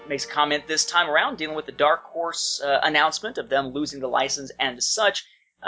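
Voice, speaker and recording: A man's voice: on the high side, pushed and bright. Male podcast co-host on dull audio. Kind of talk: casual podcast talk and reading listener feedback.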